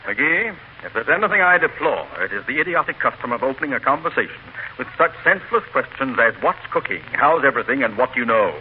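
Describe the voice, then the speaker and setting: An actor playing a pompous, formal, easily flustered mayor in 1940s radio comedy, with wordy delivery. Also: male voice, medium pitch, lively intonation, quick pace, close to an everyday voice. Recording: tinny, hissy 1940s radio recording.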